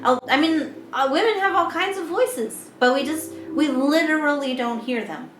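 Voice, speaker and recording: Squeaky-voiced woman co-host, loose and chatty, in a roomy space with music behind her. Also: moderate pace, fairly bright tone. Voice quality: high, squeaky voice. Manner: chatty, laughs easily, trails off and restarts. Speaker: podcast co-host. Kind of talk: casual chat.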